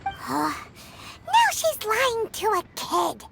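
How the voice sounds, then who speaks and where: Squeaky, very high childlike fairy. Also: female voice, wildly swooping pitch, drawn-out words, vocal fry, background noise, heavily acted. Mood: cheeky, disapproving. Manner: exclamatory, blunt commenting. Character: tiny excitable childlike fairy companion, blunt and cheeky. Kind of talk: cartoonish video game voice acting.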